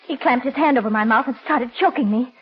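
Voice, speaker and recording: Scared pleading girl in a 40s radio drama, really high pitched, hesitant, muffled old recording. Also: quick pace, slightly rough. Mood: anxious recounting. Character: frightened, anxious young woman shielding her sweetheart. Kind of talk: 1940s radio mystery melodrama. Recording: dull lo-fi 1940s radio recording.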